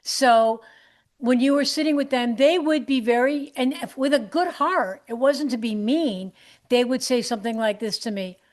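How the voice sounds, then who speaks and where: A woman hosting a comedy podcast, chatting casually, animated and quick to laugh and exclaim. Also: fairly high pitch. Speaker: podcast host.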